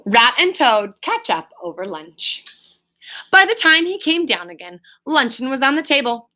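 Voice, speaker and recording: A woman reading a children's story aloud like a teacher, with emphatic, deliberate phrasing and explanatory asides. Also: high-pitched, very animated, pushed and bright.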